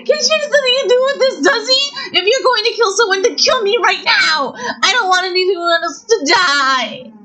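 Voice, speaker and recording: A frantic teenage girl shrieks and sobs from behind iron bars. Her voice is very high and piercing, with a bright, sharp edge. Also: expressive, moderate pace, few pauses, heavily acted. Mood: frantic sobbing. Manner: screaming, sobbing pleas.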